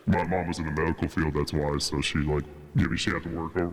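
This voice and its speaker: Man, low register, slangy casual podcast talk, swears freely, long reverb on the voice. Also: little pitch movement, quick pace. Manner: slangy and profane, frequent "dude" and "bro". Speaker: podcast speaker. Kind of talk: casual chat. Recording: reverberant hall-like echo.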